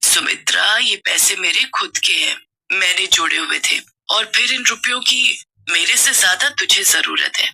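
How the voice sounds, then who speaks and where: A woman voicing a gentle, caring old mother-in-law who speaks softly in a cartoon story. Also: medium pitch, unhurried, creaky, slightly rough, full character voice. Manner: soft, motherly advice.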